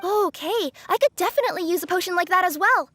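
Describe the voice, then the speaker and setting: Game casting: eager, polite cat-girl courier, extremely high-pitched, earnest and peppy. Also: quick pace. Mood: cheerful. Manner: eager, bright-spirited, earnest. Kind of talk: video game cutscene acting.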